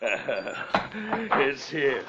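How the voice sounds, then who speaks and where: An actor playing a fevered, gold-crazed treasure hunter in a melodramatic, raving, halting style of 1950s radio drama. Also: male voice, medium pitch, very animated, muffled recording, background noise. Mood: wild elation.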